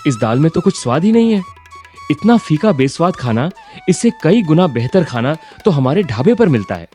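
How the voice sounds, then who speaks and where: A man's voice: on the high side, very animated, normal speed, mellow, soft background music. A man voicing an ambitious, impatient young graduate in a cartoon story, insistent and dismissive in manner. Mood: boastful. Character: ambitious, impatient young business graduate, son of eatery owner. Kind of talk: Hindi cartoon story voice acting.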